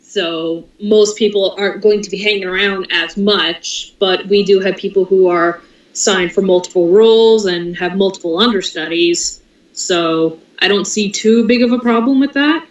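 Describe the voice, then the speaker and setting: A woman of average pitch chats informally as an organizer on a group call. Her voice comes through roomy and distant from the microphone. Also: moderate pace, dull audio. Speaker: organizer in an online cast meeting. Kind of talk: casual discussion.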